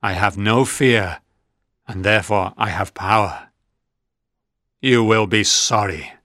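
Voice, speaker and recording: A man reading a storybook aloud, voicing a bitter, lonely male creature who speaks slowly with pleading and threats. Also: on the low side, bright, forceful tone, no room sound, studio quality. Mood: threatening. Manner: slow, pleading turning to threatening. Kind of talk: audiobook reading.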